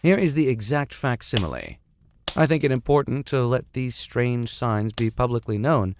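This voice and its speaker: A man's voice: on the low side, a little mellow, no echo, clean studio sound. A man reading a classic story aloud as host, theatrical in delivery, with wry asides. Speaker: reader, host.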